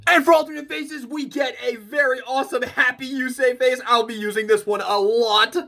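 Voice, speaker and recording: A man's voice: very high-pitched, fairly even intonation, moderate pace, creaky, sharp tone. A man giving a casual, gushing fan review as he unboxes and shows off a product. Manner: gushing, enthusiastic fan talk. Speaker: toy reviewer.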